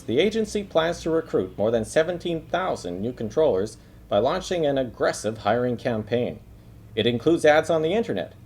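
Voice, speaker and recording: Male reporter reading scripted investigative narration, medium pitch, highly varied intonation. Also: darkish tone, slightly rough. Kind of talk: scripted news documentary narration.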